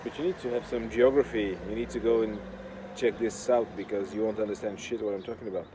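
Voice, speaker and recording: A man from Portugal with a Portuguese accent rambles casually as a video host, with a deadpan, sardonic, sweary manner. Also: fairly low pitch, fast. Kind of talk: casual rambling talk to camera. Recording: clear outdoor urban construction noise. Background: noise of building works.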